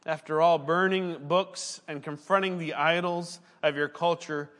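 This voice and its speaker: A man's voice: fairly high pitch, fairly even intonation, measured pace, fairly bright tone, long reverb tail. A man, a pastor and former missionary, preaching a sermon in an earnest, conversational way and now and then correcting himself mid-sentence.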